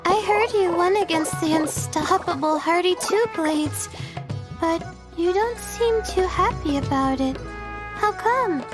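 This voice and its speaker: A woman voicing a shy, gentle young student in a video game, speaking hesitantly and earnestly. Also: extremely high pitch, a little slow, music in the background. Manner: hesitant, soft-spoken, earnest.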